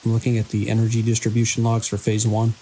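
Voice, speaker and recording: A weary male security chief on night watch, played by an actor, with a gravelly voice and dry, measured delivery. It is audio drama, with a light layer of hiss and rain-like noise over it. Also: on the low side, completely flat delivery, mellow, lightly acted, low-quality recording. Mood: curious. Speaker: security lead. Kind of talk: audio drama, logs and radio dialogue.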